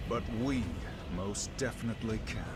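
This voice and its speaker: A man playing a confident, witty sorcerer hero in a video game cutscene, measured and explanatory. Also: medium pitch, music in the background, lightly acted. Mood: grave, urgent. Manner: measured, self-assured, explanatory.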